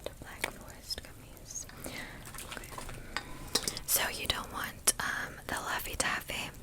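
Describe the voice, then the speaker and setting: A woman doing an ASMR shopkeeper roleplay in a breathy whisper, speaking softly and attentively to the listener. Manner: soft, unhurried, attentive to the listener. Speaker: ASMR host playing a candy shop keeper.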